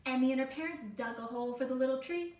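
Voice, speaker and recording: A woman teacher reading a children's storybook aloud with expressive, dramatic, deliberate phrasing. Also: high-pitched. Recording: roomy, distant mic, faint noise.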